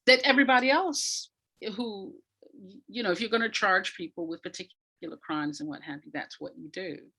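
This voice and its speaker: A woman's voice: medium pitch, moderate pace, pushed and bright, breathy edge, rough recording. A woman speaking conversationally as an interview guest, an educator who repeats phrases for emphasis.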